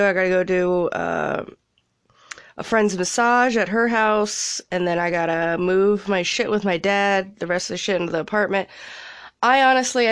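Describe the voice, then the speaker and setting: A woman's voice: medium pitch, clean tone, dry recording, studio quality. A young woman with a congested cold, rambling casually as a podcast host from a small closet, laughing and swearing freely. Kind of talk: casual solo chat.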